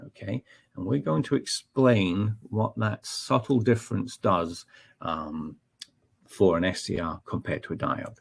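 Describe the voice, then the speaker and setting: Scottish male trainer, deep and husky, relaxed explainer with plenty of ums. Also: a little slow. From Scotland. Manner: explanatory, frequent ums and checking okay. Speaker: technical trainer. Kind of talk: technical webinar presentation.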